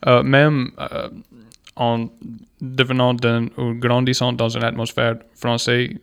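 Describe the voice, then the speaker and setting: Slow, hesitant man co-hosting. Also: mid-range voice, monotone, a bit bright, clean tone. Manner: slow, hesitant, drawn-out with fillers. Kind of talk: casual conversation.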